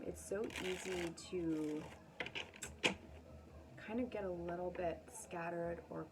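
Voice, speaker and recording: Low-pitched woman chatting effusively, noisy recording. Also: creaky. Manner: effusive, affirming, rambling asides. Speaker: astrologer and tarot reader, channel host. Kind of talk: casual, chatty card reading to camera.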